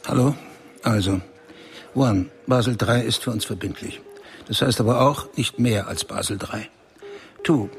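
An actor playing a self-important German banker in a radio play, bossy and clipped. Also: male voice, mid-range voice, natural voice. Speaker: banker at a summit.

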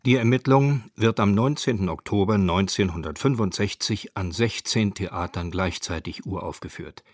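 A man's voice: fairly low pitch, dry recording, clean studio sound. A man reads scripted radio feature narration in a factual, precise way. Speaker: documentary narrator. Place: dry studio.

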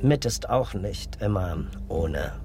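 An actor playing a wry, probing police detective in a naturalistic radio crime drama, with a dry, ironic way of questioning. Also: male voice, fairly low pitch, animated, takes it slowly. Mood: wry, self-mocking. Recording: music behind the voice.

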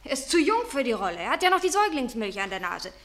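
High-voiced, haughty leading lady. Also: big pitch swings, quick pace, a bit bright. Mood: indignant. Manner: haughty, sharply articulated. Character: proud, headstrong leading actress with a temper. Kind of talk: theatrical radio drama acting.

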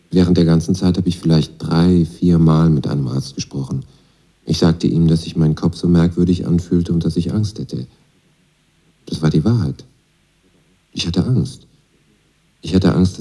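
A very low, dark male voice as a young student who has turned against his military father. He recounts his life in a laconic, matter-of-fact radio monologue, with a mellow, unforced tone. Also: husky, exaggerated voice. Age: young adult. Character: disillusioned young Moscow student, pacifist son of army father. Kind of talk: radio drama monologue, first-person testimony.

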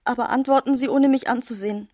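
Proud wounded gentlewoman, high, close. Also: fairly even intonation, quick pace, dry recording. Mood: tense, humbled pride. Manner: formal, earnest, pleading. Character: proud, wounded young gentlewoman pleading with her beloved. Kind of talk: literary radio play acting.

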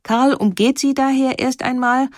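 A woman reading scripted documentary narration in a measured, explanatory way. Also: high voice, fairly even intonation, no room sound, studio quality.

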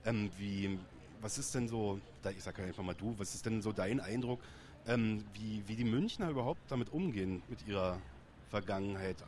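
A man's voice: fairly low pitch, darkish tone, slight background noise. A man from Berlin working as a radio reporter, asking probing questions in a casual street interview outdoors. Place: outdoors on a busy city square.

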